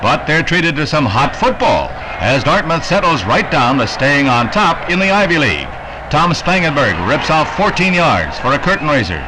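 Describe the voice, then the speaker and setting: A man narrating a 1960s newsreel in a brisk, emphatic announcer delivery. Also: on the high side, sing-song, noisy background. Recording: old newsreel soundtrack with loud music. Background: loud music score.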